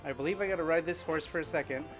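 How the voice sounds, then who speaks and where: A middle-aged man streaming a game while cycling at home, chatting upbeat and thinking out loud. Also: a little high, very fast, music in the background. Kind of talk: casual gaming commentary while cycling. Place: home room with indoor bike.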